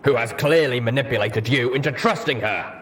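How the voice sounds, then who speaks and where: A man's voice: medium pitch, a little fast, a bit bright, big-room sound, a little hiss or hum, voice pushed up, natural voice. A man voicing a suspicious armored pony war veteran, stern and accusatory, in an audiobook. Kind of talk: audiobook character voice.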